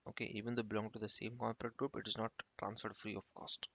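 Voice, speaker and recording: Low, gravelly man explaining step by step. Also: level tone, fairly bright tone, dry recording. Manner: halting, repetitive step-by-step explaining. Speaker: instructor. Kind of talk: informal software tutorial explanation. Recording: muffled lo-fi screen-recording voice-over.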